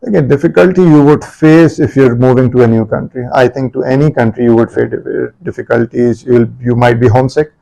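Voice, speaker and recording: A man with a Pakistani accent answers interview questions in a measured, unhurried way, mixing English with Urdu. His voice is gravelly and dark. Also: mid-range voice, low-quality recording. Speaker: guest barrister.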